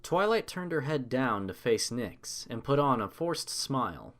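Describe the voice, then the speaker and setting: A man narrating a scripted story with expressive, dramatic, measured delivery. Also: mid-range voice.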